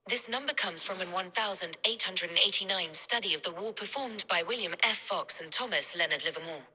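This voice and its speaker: A synthetic woman's voice of a phone assistant, reading out a factual answer in a measured, impersonal way through a phone speaker. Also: lowish voice, bright, forceful tone.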